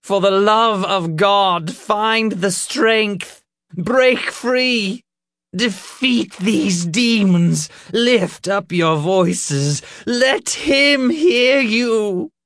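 A man voicing a stern, fanatical older male preacher in an audiobook, declaiming in drawn-out sermon phrases. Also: mid-range voice, darkish tone, no room sound, clean studio sound, close to an everyday voice. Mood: fervent pleading.

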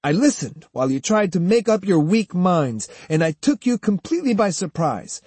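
Swaggering master swordsman, played by a male narrator: raised pitch, haughty, mocking, boastful, audiobook drama. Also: sing-song, moderate pace, a little mellow, husky, no room sound, exaggerated voice. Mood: scornful, triumphant. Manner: haughty, lecturing, rhetorical questions.

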